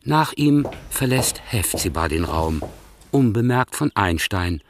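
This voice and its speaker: Radio play narrator, man, very low voice, calm, with hiss behind. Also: slow pace.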